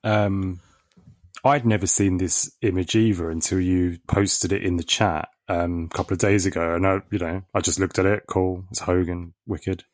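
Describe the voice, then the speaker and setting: A man's voice: low-pitched, steady tone, normal speed, no room sound, clean studio sound. A man co-hosting a podcast, telling a story in a laid-back way with ums. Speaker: podcast co-host. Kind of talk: casual chat.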